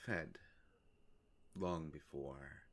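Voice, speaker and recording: A man playing a courteous, ancient vampire in a roleplay audio drama, speaking in a halting, formal, soft-spoken way. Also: a bass voice, fairly even intonation, heavily acted. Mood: gently reassuring. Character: courteous, ancient male vampire, gentle and hesitant.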